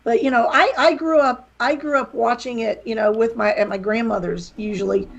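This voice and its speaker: A woman's voice: fairly high pitch, brisk, vocal fry, highs cut off. A middle-aged woman from West Virginia with a slight Southern accent, talking candidly and rambling a little as a guest on a podcast interview. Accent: slight Southern American. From West Virginia, USA. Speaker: guest, wrestling promoter and author.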